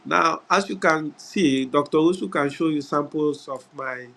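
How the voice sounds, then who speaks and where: A middle-aged man from Ghana with a Ghanaian English accent, giving an unhurried webinar talk over a video call, often pausing on "uh" and "yeah". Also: fairly high pitch, vocal fry, a bit bright. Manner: unhurried, repeats words, frequent "uh" and "yeah". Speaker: guest speaker. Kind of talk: webinar presentation.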